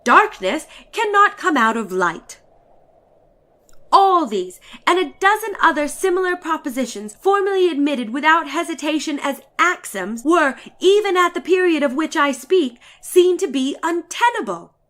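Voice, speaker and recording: A woman's voice: high-pitched, big pitch swings, moderate pace, a bit bright. A woman reading a literary short story aloud in a theatrical, emphatic and formal manner.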